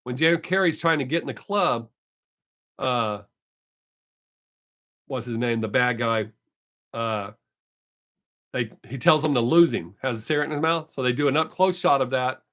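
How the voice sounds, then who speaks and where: A man's voice: medium pitch, normal speed, long pauses, fairly bright tone. Chatty older man with a drawl. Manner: rambling, self-correcting, chatty. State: voice not fully recovered. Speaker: live stream host. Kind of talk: casual chat with viewers.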